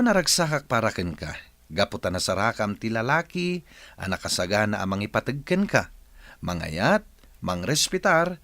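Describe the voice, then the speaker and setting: A man's voice: medium pitch, fairly bright tone, no room sound. A Filipino man hosting a radio drama program with scripted delivery. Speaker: radio drama host and advice giver.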